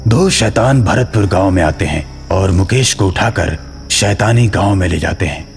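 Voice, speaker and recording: A man's voice: sits low, expressive, normal speed, creaky, in a large hall, soft background music. A man giving scripted narration for a horror story.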